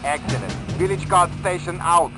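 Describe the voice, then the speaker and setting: A man playing a brisk, officious German station officer in a wartime video game. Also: on the high side, natural voice. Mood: officious, urgent. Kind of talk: video game character acting. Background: music.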